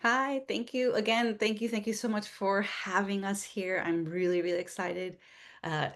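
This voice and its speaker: A woman's voice: medium pitch, steady tone, moderate pace. A woman introducing herself on a panel in a friendly, grateful way over a video call. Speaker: panelist, UX designer.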